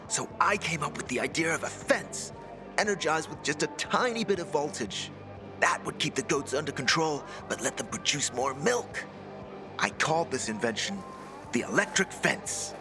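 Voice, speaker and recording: A young, eager but self-doubting apprentice inventor in a video game. The voice is fairly high and very animated, chatty and earnest. Music plays clearly behind it. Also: male voice. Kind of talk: video game cutscene acting.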